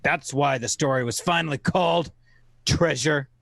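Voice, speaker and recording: A man giving theatrical scripted narration in an old-time radio manner, oratorical and wry, with deliberate phrasing. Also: a little high, vocal fry, a bit bright, no room sound.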